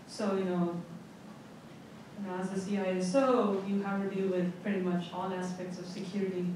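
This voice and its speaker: A woman, a security researcher, introducing herself on a conference panel in a measured, explanatory way with occasional "you know"s. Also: medium pitch, little pitch movement, darkish tone. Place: conference hall. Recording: echoey hall, distant mic, faint noise.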